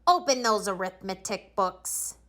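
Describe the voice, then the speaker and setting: Woman putting on a slow, menacing substitute teacher. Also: medium pitch, animated, fairly bright tone. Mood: menacing, stern. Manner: hissing, slow, curt. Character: mean, stern, snappish substitute teacher woman.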